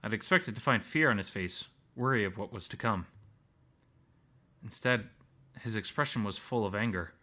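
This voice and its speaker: A man's voice: fairly low pitch, moderate pace, long pauses, sharp tone. A man reading first-person story narration aloud from a visual novel.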